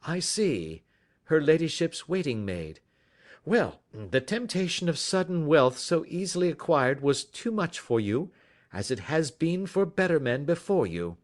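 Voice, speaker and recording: A self-assured gentleman detective, voiced by a male reader, unhurried and genteel, a touch raised in pitch with lively rises and falls. He sounds quietly amused, the speech of an old storybook. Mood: coolly accusing. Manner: polished, measured, sweetly ironic. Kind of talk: audiobook character voice.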